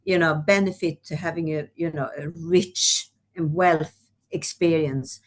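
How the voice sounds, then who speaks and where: Woman, fairly low voice; conversational executive guest, loose and chatty. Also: steady tone, a little slow. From Sweden. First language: Swedish. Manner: conversational, frequent "you know". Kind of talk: webinar discussion.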